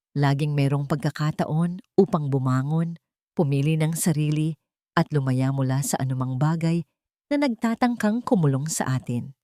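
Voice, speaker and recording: Low-voiced woman narrating, dry sound. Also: warm tone, no room sound. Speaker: story narrator. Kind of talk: scripted dramatic romance storytelling.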